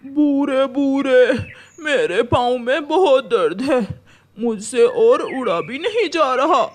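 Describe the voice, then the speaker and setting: A woman voicing a sly male crow villain in a cartoon story, with a drawn-out, pleading and scheming manner. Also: sits high, lively intonation, full character voice. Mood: pained whining. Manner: drawn-out, repeats words. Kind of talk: animated children's story voice acting.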